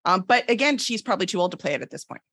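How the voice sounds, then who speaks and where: Lively-pitched woman chatting on a podcast. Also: very fast, vocal fry, breathy edge, no echo, clean studio sound. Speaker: podcast guest, book publisher. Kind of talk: casual chat.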